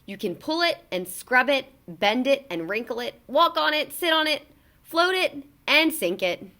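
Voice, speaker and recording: Woman, children's read-aloud, fairly high pitch, very varied intonation, expressive, emphatic storyteller. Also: a little slow. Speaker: children's book reader.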